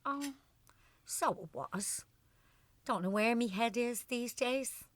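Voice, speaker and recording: An actress playing an anxious older Irish wife, a constant worrier, in a radio play. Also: female voice, a little high, slow pace. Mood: worried, wistful.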